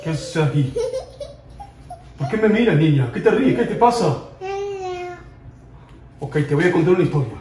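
A man's voice: fairly high pitch, lively intonation, moderate pace, long pauses, a crowd in the background, close to an everyday voice. A man playing a stern religious zealot in a comedy, preachy, declamatory and scolding. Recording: echoey, distant, music underneath.

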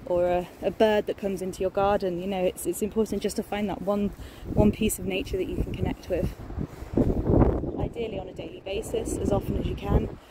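A woman's voice: medium pitch, flat delivery, fairly quick. A woman in her thirties chatting enthusiastically while filming a walk outdoors, talking into a phone held at arm's length. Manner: enthusiastic, chatty, many fillers. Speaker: nature vlogger. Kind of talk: casual walking vlog. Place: outdoors in woodland and on a beach. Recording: outdoor phone recording with noise. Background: distant planes and outdoor ambience.

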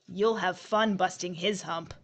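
A woman playing a brisk afterlife agent, gleefully approving, in an audio horror drama. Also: lowish voice, steady tone. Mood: brisk, wry.

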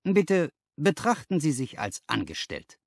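A man voicing a brisk, patronising company boss in an audiobook, businesslike and used to giving orders. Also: fairly high pitch, huge pitch swings, creaky, no echo, pitched above the performer's own voice, heavily acted. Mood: brisk, commanding. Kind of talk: audiobook character dialogue.

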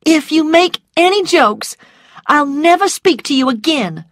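Female reader as young storybook heroine, high pitch, urgent, commanding, emotional, dry recording. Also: sing-song, slow pace, fairly bright tone, dry recording, full character voice. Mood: frightened, angry. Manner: emphatic, emotional. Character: headstrong, frightened young Southern woman, urgent and commanding.

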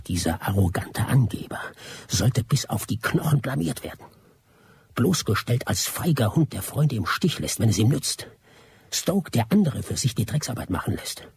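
An actor playing a bitter, scheming colonial-era adventurer in a radio drama, theatrical and sardonic. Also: male voice, lowish voice, a little mellow, slightly rough, exaggerated voice. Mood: grim, scheming. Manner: theatrical, muttering and gloating. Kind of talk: radio drama acting with first-person narration.

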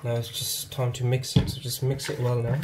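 A man hosting a home cooking channel, talking viewers through a recipe step by step in a steady, instructive way from his kitchen. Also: mid-range voice, a little fast, heavy background noise. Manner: steady, instructive, often addresses viewers as guys.

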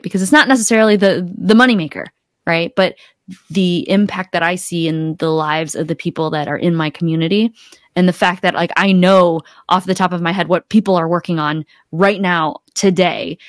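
Lowish-voiced woman, dry close sound. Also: fairly even intonation, brisk, no room sound. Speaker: podcast guest, accountability coach. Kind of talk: casual interview chat. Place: indoor home room on a video call. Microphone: close desktop podcast mic.

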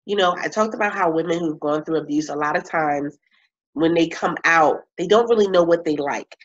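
A woman in her thirties, a coach and speaker, chatting in an interview over a video call. She talks in an animated way, laughs often and stretches words for emphasis. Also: sits low, brisk. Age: 30s. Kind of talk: casual interview chat. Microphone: webcam on video call. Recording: slightly muffled video-call audio.

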